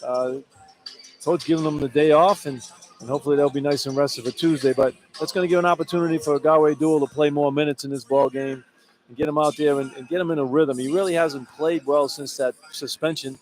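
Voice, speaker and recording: A man's voice: fairly high pitch, fairly even intonation, a little fast, soft background music, poor audio. A man working as a color analyst, talking conversationally through live sports analysis on the radio. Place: courtside in a sports arena.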